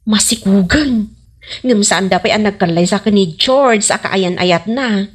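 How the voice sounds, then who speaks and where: A woman's voice: medium pitch, unhurried, breathy edge. A woman playing a frank, teasing young snack seller in an Ilocano comedy radio drama, with an Ilocano accent.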